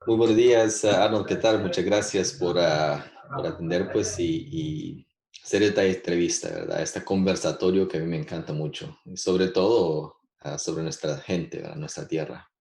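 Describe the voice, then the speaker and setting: A man from El Salvador, answering interview questions in a halting way, with many restarts and fillers. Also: deep voice, fairly even intonation, vocal fry, darkish tone, reverberant. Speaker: guest composer.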